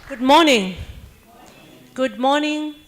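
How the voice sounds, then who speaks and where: A woman introducing herself at a meeting in a brisk, cheerful way. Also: high voice. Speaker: program manager.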